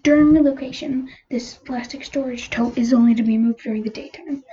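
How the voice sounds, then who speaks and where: High-voiced woman narrating, muffled noisy recording. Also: steady tone, dark tone. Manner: halting reading, often stumbling over numbers. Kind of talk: scripted narration, reading a document aloud. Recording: muffled lo-fi home recording, clear noise.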